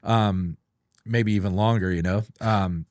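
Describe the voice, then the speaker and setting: Low-voiced older man, dry close sound. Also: steady tone, a little slow, a little mellow, dry recording. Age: 50s or older. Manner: explanatory, informal. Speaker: podcast guest, retired air traffic controller. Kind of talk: casual reminiscing. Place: close-miked dry studio.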